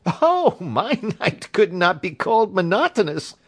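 Man acting a young wounded gentleman, raised pitch, swooping intonation, courteous, shaky, excitable, storybook character. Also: normal speed, natural voice. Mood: hysterical amusement. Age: mid-twenties. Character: pale, agitated young male engineer, wounded, hysterical, polite.

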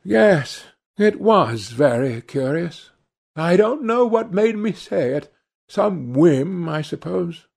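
Male narrator as anxious young gentleman, melancholy, self-absorbed, plaintive, raised pitch, audiobook character. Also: lively intonation, takes it slowly, gravelly, husky, full character voice. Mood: strained politeness. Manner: plaintive, earnest, polite.

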